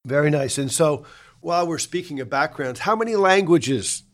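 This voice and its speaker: A man's voice: on the high side, lively intonation, normal speed. A middle-aged man, casually interviewing as a podcast host.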